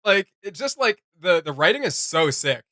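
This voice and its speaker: Guy doing excited reaction commentary, bright voice, super dry sound, slangy n casual. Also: sits high, moderate pace, no echo. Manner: enthusiastic, slangy. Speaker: reaction video host.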